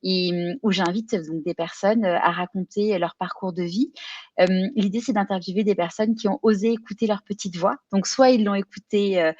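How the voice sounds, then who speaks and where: A woman's voice: mid-range voice, darkish tone, no room sound, muffled recording. A woman of forty from Paris, with an accent from France, telling her story in an interview in short, hesitant phrases. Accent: French from France. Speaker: podcast guest, career coach and entrepreneur. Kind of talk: personal account in a conversational interview.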